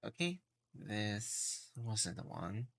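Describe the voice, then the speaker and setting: A man chatting casually on a gaming livestream in a halting, meandering way. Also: fairly low pitch, sing-song, creaky, fairly bright tone. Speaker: live streamer. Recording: game music behind the voice. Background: video game music.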